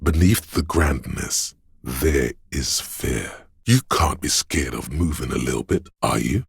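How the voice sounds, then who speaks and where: A man voicing a paranoid, aggressive inner voice of primal fear in a video game, menacing, urgent and ominous, with a gravelly, husky sound. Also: very deep voice, lively intonation, measured pace, no echo, clean studio sound, exaggerated voice. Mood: tense, menacing. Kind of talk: video game voice acting, second-person dramatic narration.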